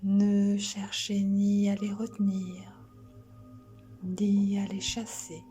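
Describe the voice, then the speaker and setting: A woman guiding a sleep relaxation as a yoga instructor, soothing and gentle, soft and breathy, often near a whisper, with long pauses. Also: mid-range voice, monotone, soft background music.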